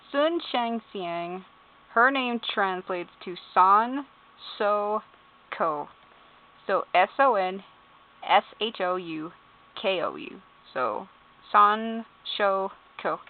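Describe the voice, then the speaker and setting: A woman at home giving casual, amused commentary as she reads game character bios, spelling out names letter by letter, laughing and swearing. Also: on the low side. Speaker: YouTuber. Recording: tinny phone-like sound.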